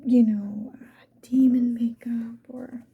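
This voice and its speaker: A woman doing casual ASMR, whispering softly and musing to the viewer in an unhurried get-ready-with-me chat. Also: fairly high pitch, flat delivery, husky. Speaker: ASMR video creator. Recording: muffled lo-fi with background hiss.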